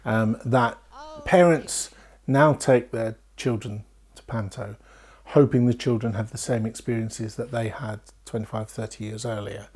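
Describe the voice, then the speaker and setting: A man's voice: mid-range voice, steady tone, measured pace, darkish tone, breathy edge. A middle-aged British man talking animatedly in an interview about his writing work, slipping into acted-out asides. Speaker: pantomime writer and actor.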